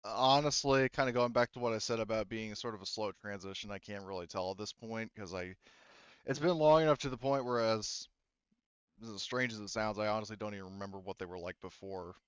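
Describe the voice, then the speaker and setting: A man plays a candid, cautious working woman from an engineering crew in a sci-fi tabletop game. The voice is low and smooth, full of informal hedging, on a slightly dull recording. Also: fairly quick, no echo, close to an everyday voice. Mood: candid, unsure.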